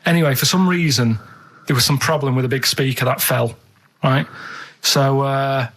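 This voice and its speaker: A man's voice: medium pitch, steady tone, moderate pace, fairly bright tone, poor audio. A man, telling rambling anecdotes matter-of-factly as a casual radio co-host. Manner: matter-of-fact, rambling, tags sentences with "right?".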